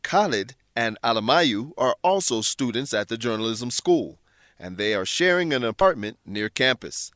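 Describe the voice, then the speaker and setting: A man narrating an English-learning radio drama as host, scripted, clear, instructive and welcoming. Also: medium pitch, expressive, normal speed, a bit bright, no room sound, studio quality.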